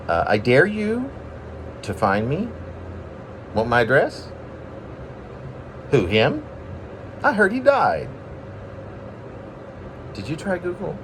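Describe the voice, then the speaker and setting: A man's voice: a little high, huge pitch swings, slow pace, pauses often, a bit bright, slight background noise, low-quality recording. A man reading his own poems in an informal, rambling way, with self-deprecating asides and playful drama. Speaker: poet reading own work.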